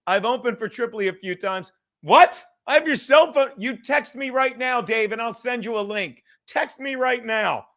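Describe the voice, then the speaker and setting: A man with a high, bright voice hosts a loose livestream hang. He rambles casually, putting himself down and swearing freely as he goes. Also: moderate pace. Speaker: livestream host. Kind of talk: casual profane livestream chat.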